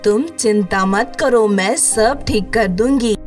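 Woman as a caring, slow-spoken cartoon fairy. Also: mid-range voice, faint music behind, natural voice. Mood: reassuring. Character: caring, helpful female fairy.

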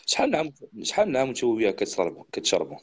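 Fast-talking Moroccan man hosting live. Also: medium pitch, huge pitch swings. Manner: quick, chatty, calls out to viewers and guest. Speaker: livestream host. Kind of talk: casual live chat and storytelling.

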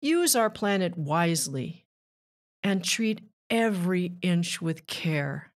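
A woman reading a rhyming children's book aloud with measured, deliberate phrasing. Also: fairly low pitch, very animated. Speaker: children's author and narrator.

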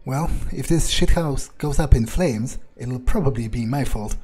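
A man's voice: mid-range voice, a little slow, dark tone, breathy edge, faint music behind. A man thinking aloud in character during a video game playthrough, in a hesitant, musing way. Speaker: gamer role-playing in character.

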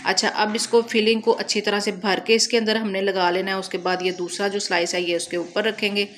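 A woman's voice: mid-range voice, level tone, fairly quick, fairly bright tone. A woman with a Pakistani Punjabi accent giving a chatty, casual recipe voice-over as a cooking channel host. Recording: noticeable background noise, home recording.

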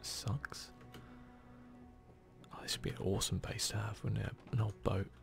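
A man giving whispered, relaxed ASMR commentary over gameplay, musing idly close to the microphone. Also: lowish voice, normal speed, creaky, faint music behind. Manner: relaxed, idle musing to himself and viewers. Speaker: gaming channel host.

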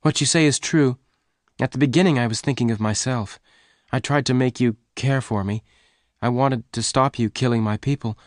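An anxious woman rancher of the Old West, voiced by a male reader, pitched up from his own voice. She is earnest, emotional and pleading, in a dry storybook recording. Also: moderate pace, no room sound, lightly acted. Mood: confessing. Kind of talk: audiobook character dialogue.

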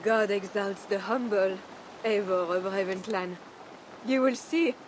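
A woman's voice: mid-range voice, steady tone, close to an everyday voice, poor audio. A woman acting an earnest, devout queen. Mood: earnest faith. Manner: earnest, formal, measured. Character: devout, composed Frankish queen, gentle but resolute. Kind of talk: dramatic game cutscene acting. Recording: rain and ambient noise behind.

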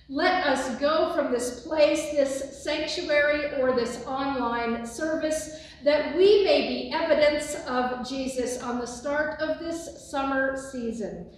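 Booming woman pastor in an echoey sanctuary. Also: far from the mic. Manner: booming, expressive, gesturing widely. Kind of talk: church announcements and benediction. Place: large church sanctuary. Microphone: phone on a tripod from afar.

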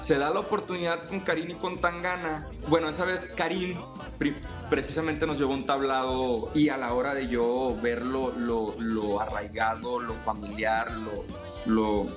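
Young man, video-call guest, mid pitch, halting and reflective, faint music bed. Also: level tone. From Sonora, Mexico. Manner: reflective, halting with many restarts. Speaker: singer and songwriter, interview guest. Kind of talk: casual interview. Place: home room.

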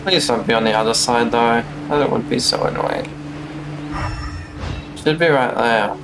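A young man with a medium-pitched voice streams a video game, chatting casually to himself. He is playful and mock-polite, with clear game music behind him. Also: unhurried. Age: young adult. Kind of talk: casual gameplay commentary, talking to himself. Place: small room indoors at home.

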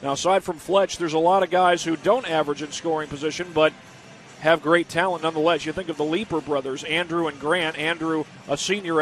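A young man giving steady pregame basketball commentary as the broadcast announcer, sometimes correcting himself. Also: a little high. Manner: steady informative delivery, self-correcting. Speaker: play-by-play announcer.

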